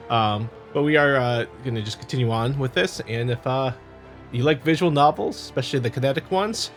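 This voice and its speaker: A man hosting a visual novel playthrough, chatting casually and reading aloud, joking and stumbling over the text. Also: medium pitch. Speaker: host, gamer.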